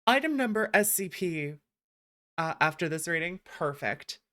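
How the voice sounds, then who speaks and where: A woman's voice: lowish voice, animated, measured pace, a bit bright. A woman hosting a gaming stream, chatting casually and reading text aloud.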